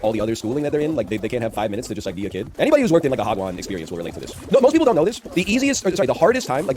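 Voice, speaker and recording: A man in his early thirties with a deep voice, hosting a casual gaming chat and telling rambling stories full of "like", in a dry, unenthusiastic way. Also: mellow. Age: early 30s. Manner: rambling, talky, heavy on filler "like"s. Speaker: streamer and game host.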